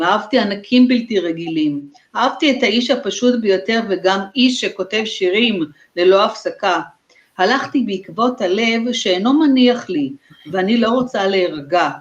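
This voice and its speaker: Woman poet reading verses slow n careful, dull echoey call audio. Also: mid-range voice, expressive. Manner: slow, deliberate reading with long pauses. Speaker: poet reciting at an online festival. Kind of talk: slow poetry recitation. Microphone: video call.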